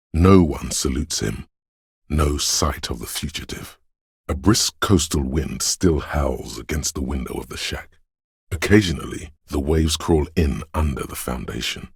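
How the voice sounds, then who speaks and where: A man voicing a brooding, all-seeing city spirit in a game, husky and gravelly, narrating in slow, solemn, poetic lines. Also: a bass voice, dry recording, studio quality, heavily acted. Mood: somber, watchful. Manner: ominous, solemn, measured, hushed. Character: Shivers, brooding omniscient spirit voice of a windswept city.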